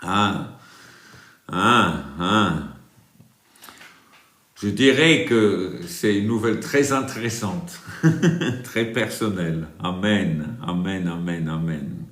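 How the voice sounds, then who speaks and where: British-accented preacher singing slow, echoey hymns. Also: male voice, low-pitched, fairly bright tone. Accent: British English. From England. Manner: drawn-out sung hymns, brisk chatty asides to viewers. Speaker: preacher and worship leader. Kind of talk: livestream worship singing and chatting with viewers.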